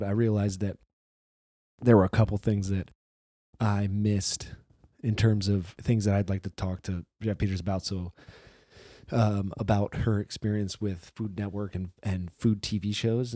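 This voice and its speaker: A man with a low, mellow voice hosts a podcast in a loose, rambling way, restarting sentences and swearing freely. His voice is slightly hoarse from a recent flu, and the recording is dry and close. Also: steady tone, normal speed, no room sound. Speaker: podcast host. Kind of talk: casual solo intro monologue.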